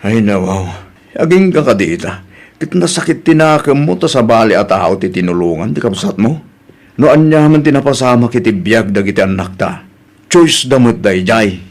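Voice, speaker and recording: A man's voice: lowish voice, lively intonation, moderate pace. A man with an Ilocano accent playing a blunt, exasperated husband who chides his wife in a comedy drama. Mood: reproachful.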